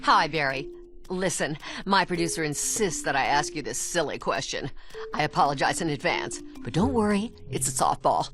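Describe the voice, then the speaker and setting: A woman's voice: deep voice, animated, normal speed, music in the background. An actress playing a slick, haughty female TV news host in a cartoon game, with polished, condescending presenter delivery. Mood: dramatic, polished. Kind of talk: dramatic TV news interview.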